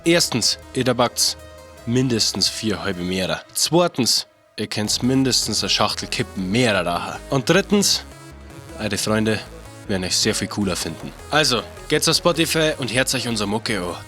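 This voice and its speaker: A man's voice: lowish voice, very animated, normal speed, sharp tone. A man with a Bavarian accent delivering an upbeat scripted promo for his band's music. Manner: upbeat, listing points. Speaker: band member promoting own music. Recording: faint music behind.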